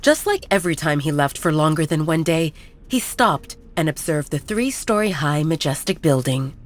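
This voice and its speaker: Low-pitched woman narrating romantic fiction. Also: lively intonation, moderate pace. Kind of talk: scripted narration of a romance audio story.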